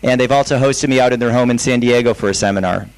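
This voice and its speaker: Smooth-voiced man introducing guests. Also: medium pitch, low-quality recording. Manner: halting, many fillers. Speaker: seminar organizer.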